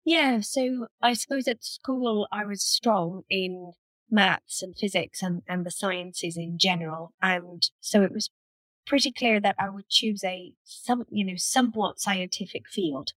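A woman's voice: mid-range voice, fairly even intonation, measured pace, a bit bright, no echo, low-quality recording. A woman in her fifties with an Irish accent, giving conversational answers as a panel guest on a video call. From Ireland. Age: 50s. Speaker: panel guest, engineering director.

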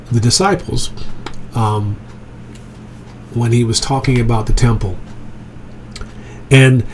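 A man who grew up in England leads an informal Bible teaching in a mid-range voice, speaking easily and often checking in with his listeners. A faint hiss sits under his voice. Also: mid-range voice. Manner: conversational, checks in with "okay?" and "right?".

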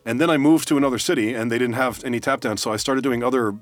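A man's voice: medium pitch, fast, no echo, faint music behind. A man co-hosting a podcast in casual chat, blunt and joking, on a studio mic. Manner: blunt, joking, some swearing. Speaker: podcast co-host.

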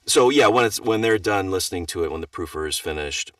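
A middle-aged man with a very deep, low voice talks casually about his narration work, relaxed and self-deprecating, getting animated in his stories. The recording is dry and close-sounding, though he sits a bit back from the mic. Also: fast, no room sound, distant mic. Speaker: audiobook narrator. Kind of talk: casual podcast chat.

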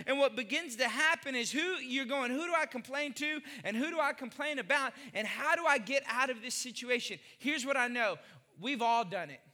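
A man's voice: extremely high pitch, bright, forceful tone, big-room sound. A man in his forties preaching a sermon, emphatic, repeating phrases and putting questions to the congregation. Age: 40s. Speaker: pastor.

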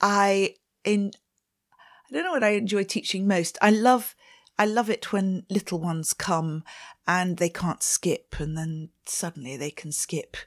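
A woman's voice: mid-range voice, steady tone, measured pace. A middle-aged woman with a Swedish accent, a ballet teacher answering interview questions in a chatty way and laughing often. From Sweden.

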